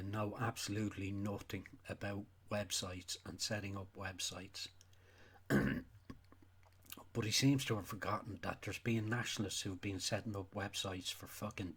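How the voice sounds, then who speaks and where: Blunt, sweary Irish man, lowish voice. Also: a bit bright. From Ireland. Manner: blunt, sweary, emphatic with repetition. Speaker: livestream host. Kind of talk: unscripted opinionated rant.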